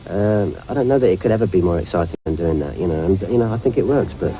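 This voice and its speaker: A man's voice: low voice, animated, quick pace, rough recording. A man from north London, a film director, answering interview questions in a reflective, rambling way.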